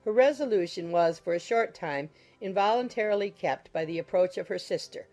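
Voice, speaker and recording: A woman narrates a literary audiobook in a slightly low voice. She reads scripted period prose, and music plays softly underneath. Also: expressive, fairly quick.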